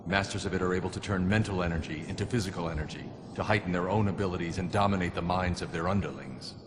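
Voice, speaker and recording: An actor playing a grim, stoic masked vigilante in a video game, terse, stern and deadpan. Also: male voice, deep voice, fast, noisy background, natural voice. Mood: dry, confident. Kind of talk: animated-style video game acting. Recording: long reverb, music behind.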